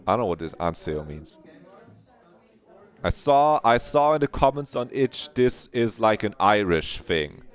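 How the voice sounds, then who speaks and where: A man's voice: fairly low pitch, sing-song, unhurried, clear, resonant tone. A man streaming a visual novel, musing aloud in casual commentary that trails off.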